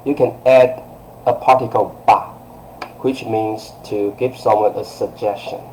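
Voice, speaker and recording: A man's voice: lowish voice, steady tone, unhurried, a little mellow, slight background noise, poor audio. A man in his thirties giving a live language lesson from a small room, patient and repetitive, with lots of okays and uhs. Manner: patient, repetitive, fillers like okay and uh. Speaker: Chinese teacher. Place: small room by a curtained window. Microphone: webcam close-up.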